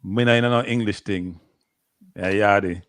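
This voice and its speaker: A man's voice: lowish voice, fairly even intonation, unhurried, fairly bright tone. A man from Jamaica with a Jamaican accent, arguing tactics on a live football watchalong and repeating his points. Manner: argumentative, repeats himself, frequent laughter.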